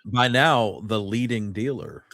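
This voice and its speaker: A man's voice: fairly low pitch, measured pace, fairly bright tone, dry recording, clean studio sound. A man in casual chat, informal and joking, putting in short remarks as a co-host.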